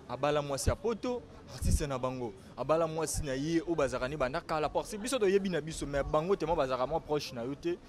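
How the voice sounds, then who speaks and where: A man's voice: fairly high pitch, fast talker, few pauses. A man in his thirties with a Congolese accent, hosting a casual interview. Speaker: interviewer, show host. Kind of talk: casual TV interview, switching languages. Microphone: handheld interview microphone. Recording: outdoor recording with busy background chatter.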